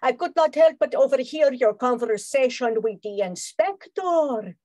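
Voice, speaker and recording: A woman's voice: high-pitched, expressive, unhurried, dark tone, dry recording, studio quality. A woman playing a boastful, seductive Martian spy villain with theatrical, sultry delivery in a radio spoof. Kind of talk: old-time radio spoof acting.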